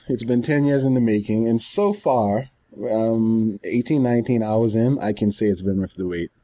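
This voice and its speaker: A man co-hosting a podcast, chatting casually in a rambling, halting way. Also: mid-range voice, warm tone, no echo. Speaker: podcast co-host. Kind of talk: casual chat about anime and games.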